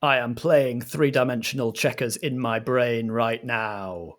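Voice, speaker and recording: A man's voice: medium pitch, steady tone, measured pace, fairly bright tone, natural voice. A man voicing a haughty, condescending, hyper-intelligent slime in a comic audio drama. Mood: smug. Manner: condescending, pompous.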